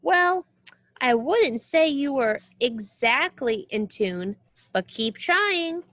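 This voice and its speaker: A woman's voice: high-pitched, animated, slow pace, fairly bright tone, no echo, exaggerated voice. A woman voicing a reassuring, knowledgeable storybook companion at a concert, speaking patiently.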